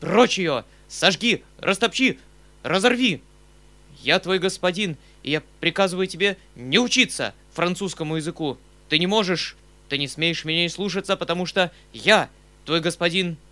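Audiobook role: male reader as overwrought kindly uncle, higher-pitched, breathless, emphatic, insisting on his authority. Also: fairly bright tone, lightly acted. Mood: furious. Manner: emphatic, breathless, rushed exclamations in short bursts. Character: agitated middle-aged gentleman uncle, kind-hearted, flaring into commanding anger.

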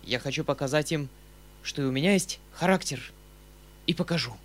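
Audiobook role: male reader as overwrought kindly uncle, higher-pitched, breathless, emphatic, insisting on his authority. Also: lightly acted. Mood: tragic, resolute. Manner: emphatic, breathless, rushed exclamations in short bursts. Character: agitated middle-aged gentleman uncle, kind-hearted, flaring into commanding anger.

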